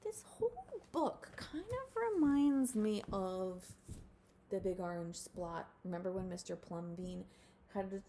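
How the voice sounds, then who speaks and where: A woman reading a storybook aloud to children expressively, with animated asides to her listeners. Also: medium pitch, slow, a little hiss or hum. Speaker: storybook reader.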